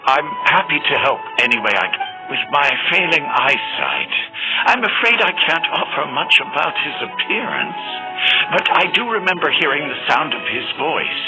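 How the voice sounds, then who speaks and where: An actor playing an elderly, humble village chief in a video game, speaking in a gentle, courteous, reflective way. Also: male voice, on the high side, very animated, normal speed, music in the background, poor audio. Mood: gentle, grateful. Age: older. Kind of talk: video game character dialogue.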